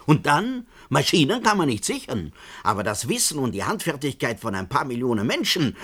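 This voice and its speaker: An actor playing a suspicious theorist in a German science-fiction radio drama, arguing and speculating deliberately. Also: male voice, mid-range voice, a bit bright. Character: suspicious male theorist of a small conspiratorial circle.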